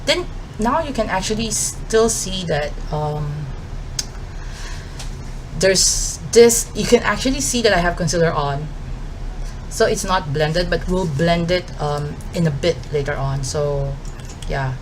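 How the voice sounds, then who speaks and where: A woman's voice: lowish voice, animated, measured pace, background noise. A woman in her thirties with a Filipino accent, chatting casually through her makeup routine with lots of "um" and "like". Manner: chatty, with fillers like "um" and "like" while doing makeup. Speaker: beauty vlogger. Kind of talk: casual get-ready-with-me chat.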